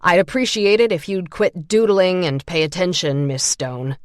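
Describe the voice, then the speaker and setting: A woman voicing a stern male high-school teacher who speaks in a firm, authoritative way. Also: normal speed, no room sound, clean studio sound, close to an everyday voice.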